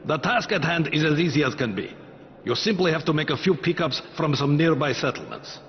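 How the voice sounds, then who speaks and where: An actor playing a calm, wry henchman who hands out jobs in a video game, measured and sardonic. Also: fairly high pitch, close to an everyday voice. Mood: smooth, menacing. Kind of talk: cinematic video game acting. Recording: muffled game capture, faint noise.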